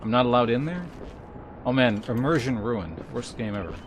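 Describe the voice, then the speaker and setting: A man's voice: mid-range voice, expressive, normal speed, a little hiss or hum. A man chatting casually as a game streamer, in a wry, self-deprecating way. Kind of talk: casual live stream chat.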